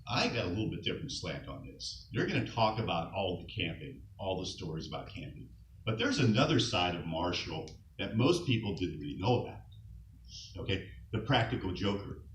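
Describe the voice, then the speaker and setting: A middle-aged man speaks off-mic in a big reverberant hall, his low voice rising and falling with lively swings. He talks through a relaxed eulogy, chuckling at light anecdotes. A faint hiss sits under the recording. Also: a little fast, distant mic. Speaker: scout leader speaking at a memorial. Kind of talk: informal eulogy.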